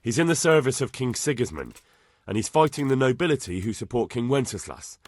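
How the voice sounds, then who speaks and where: Game hero: young male, husky mid-range, earnest, deferential to lords. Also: mid-range voice, sing-song, a little fast, a little mellow, dry recording. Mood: urgent, reporting. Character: earnest, respectful young squire, a lord's son. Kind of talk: video game acting.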